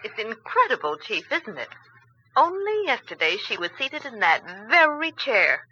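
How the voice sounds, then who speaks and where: An actress playing an earnest, resourceful young detective's assistant in a 1940s radio drama. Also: female voice, on the high side, big pitch swings, normal speed, sharp tone. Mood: concerned, curious. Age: young adult. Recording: muffled, noisy 1940s radio broadcast.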